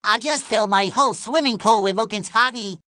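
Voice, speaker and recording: High-pitched cartoon sea sponge, chirpy, pushy pitchman, very dry sound. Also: a little fast, sharp tone, no room sound. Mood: pushy, upbeat. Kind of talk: cartoon commercial skit.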